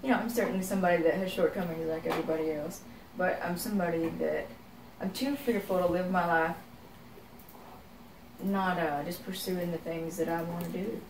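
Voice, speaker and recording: A woman's voice: fairly low pitch, steady tone, a little fast, noisy background. A young woman from Texas with a Texan accent, a singer-songwriter talking reflectively in a casual interview and filling her speech with "you know.". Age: young adult. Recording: roomy, from a distance.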